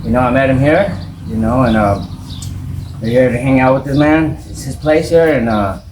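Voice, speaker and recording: A man's voice: mid-range voice, moderate pace. Casual male interviewer, distant and noisy recording. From United States. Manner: informal, says "you know" and "man" often. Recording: distant mic, loud vehicle ambience.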